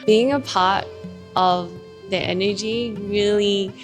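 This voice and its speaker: A woman's voice: mid-range voice, steady tone, takes it slowly, music in the background. Enthusiastic woman recalling a trip. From Australia. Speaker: trip guest. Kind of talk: casual interview.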